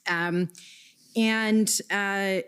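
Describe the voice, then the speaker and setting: A woman questioning a witness at a formal hearing, reading out document numbers and quoted messages in a measured way. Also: medium pitch, fairly even intonation, a bit bright, clear, resonant tone. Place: hearing room.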